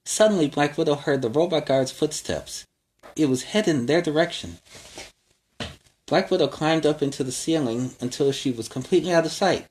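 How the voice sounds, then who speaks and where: A man's voice: a little high, normal speed, darkish tone, slight background noise. A man hosting a children's story time, narrating a storybook with chatty asides. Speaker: children's story time host in superhero character.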